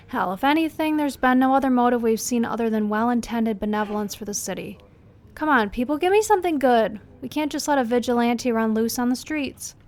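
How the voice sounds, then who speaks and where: Police chief, woman acting: slightly high voice, brisk, caustic, demanding, audio drama briefing style. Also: lightly acted. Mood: impatient, caustic. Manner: commanding, caustic.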